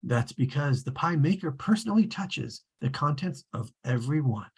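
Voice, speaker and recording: A very husky narrator read by a performer at a table read tells a storybook tale. The delivery is measured and formal, with dry precision and an omniscient air. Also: mid-range voice, animated. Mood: measured, wry.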